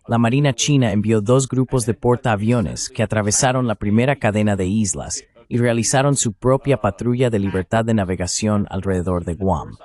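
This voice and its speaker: A man with a slightly low voice delivers geopolitical commentary. He sounds like a dubbed voice-over of a guest analyst. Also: darkish tone.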